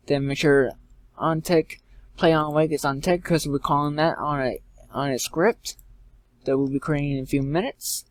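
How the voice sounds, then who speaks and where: A man giving an informal step-by-step software tutorial in a halting way, repeating and correcting himself as he goes. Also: fairly high pitch, steady tone, no room sound. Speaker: tutorial presenter. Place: indoors, screen-recording voice-over.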